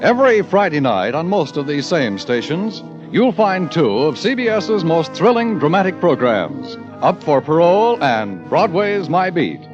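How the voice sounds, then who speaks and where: A man's voice: on the high side, very animated, unhurried. A man announcing formally and dramatically in scripted 1950s radio style. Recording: muffled 1950 radio broadcast, music. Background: music.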